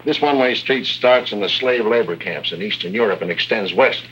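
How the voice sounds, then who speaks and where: Old radio drama casting: earnest agency official, lowish voice, matter-of-fact, faintly noisy muffled recording. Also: male voice, animated, brisk, fairly bright tone. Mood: grave, urgent. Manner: matter-of-fact, grave briefing. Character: brisk, earnest male intelligence office aide. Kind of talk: 1950s radio drama acting.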